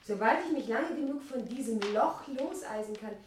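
An actress playing a weary, complaining young governess in a 1960s German radio play, chatty, teasing and plaintive. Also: female voice, a little high, animated, normal speed, lightly acted. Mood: amused, mocking. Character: weary, complaining young governess visiting her adoptive aunt. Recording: noisy 1960s radio recording with clicks.

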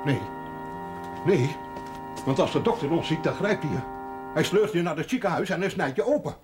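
An actor playing a gruff but caring man in a television drama, with a very husky voice and deliberate delivery. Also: on the high side, animated, music in the background, lightly acted. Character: gruff, caring man reading aloud to a sick companion.

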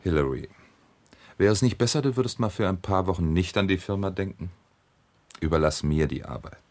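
Actor as deep-voiced, scheming husband in radio drama. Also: male voice, fairly quick, darkish tone, natural voice. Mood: soothing, evasive. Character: scheming husband, smooth and evasive, plotting over money. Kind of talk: radio crime drama acting.

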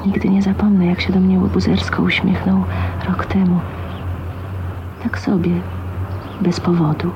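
An actress playing a shy, lonely, dreamy young spinster in a radio drama, speaking hesitantly in a hushed, intimate way. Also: female voice, fairly low pitch, little pitch movement, measured pace, long pauses. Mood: dreamy musing. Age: young adult. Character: shy, lonely, dreamy 29-year-old spinster, wistful and wounded. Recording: dull, noisy 1980s radio drama recording.

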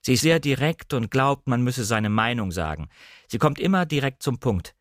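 Man reading a brisk, decisive gentleman, dry sound. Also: mid-range voice, expressive, no room sound, lightly acted. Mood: matter-of-fact. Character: brisk, decisive English gentleman, master of an estate. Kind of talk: audiobook character dialogue.

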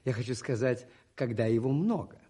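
Husky, mild little priest played by a man. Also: mid-range voice, natural voice. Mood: polite, sly. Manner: polite, unhurried, quietly ironic. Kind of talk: 1980s Soviet radio play acting.

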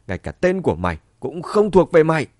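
A man's voice: medium pitch, big pitch swings, quick pace, breathy edge, dry recording, studio quality. Man acting a venomous, shrieking middle-aged mother. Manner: shouting, spiteful, defiant.

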